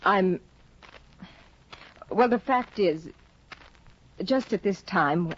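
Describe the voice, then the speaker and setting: Bitter, imperious young wife. Lively pitch, lo-fi 1950s radio drama sound. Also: female voice, very slow. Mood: tense, strained. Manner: icy, bitter, commanding. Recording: dull lo-fi 1950 radio broadcast with faint hiss.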